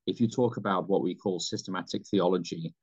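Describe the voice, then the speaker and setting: A British man in his fifties, a pastor answering interview questions in a measured way with frequent ums and restarts. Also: low-pitched, darkish tone, highs cut off. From United Kingdom. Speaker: pastor, mission leader.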